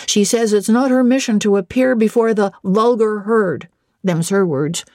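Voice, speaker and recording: Woman voicing a flustered, deferential young footman, raised pitch. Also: normal speed, a little mellow, no echo, clean studio sound, close to an everyday voice. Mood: earnest, reporting. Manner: earnest, servile, rustic turns of phrase.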